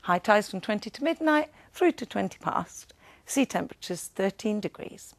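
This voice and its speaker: A woman's voice: medium pitch, lively intonation, moderate pace, dry recording. A woman presenting a scripted television weather forecast.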